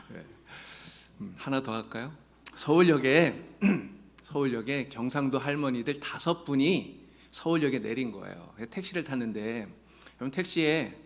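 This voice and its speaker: A man's voice: medium pitch, gravelly. A male pastor preaching a sermon in a church, earnestly addressing his congregation and mixing in folksy jokes. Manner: tells folksy jokes between teaching. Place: church sanctuary.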